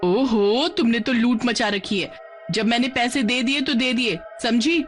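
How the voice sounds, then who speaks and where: A woman's voice: fairly high pitch, unhurried, voice pushed up, lightly acted. A woman voicing a brazen, cheating auntie in a cartoon story, scolding in an indignant, scornful manner. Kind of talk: Hindi cartoon story dubbing. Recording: music behind the voice.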